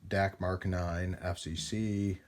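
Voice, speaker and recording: A man's voice: low voice, fairly even intonation, slow pace, darkish tone. A man hosting a casual hands-on demonstration of vintage radio gear, talking in a rambling, folksy way with hesitations as he works. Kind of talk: casual hands-on vlog narration.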